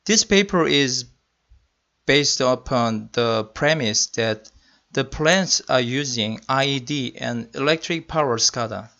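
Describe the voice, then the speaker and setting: A man with a Korean accent delivers a technical slide lecture at a medium pitch. He speaks carefully and deliberately, as a non-native speaker would. The recording sounds muffled and lo-fi. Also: lively intonation, vocal fry, sharp tone. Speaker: senior electrical engineering manager. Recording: muffled lo-fi voice-over.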